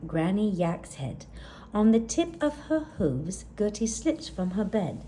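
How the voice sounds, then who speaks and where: A woman narrates a children's story at an unhurried pace. Her voice is fairly high and mellow, with expressive rises and falls suited to storytime. There is faint noise behind her. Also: poor audio. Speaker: storytime reader. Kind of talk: expressive children's read-aloud, scripted narration.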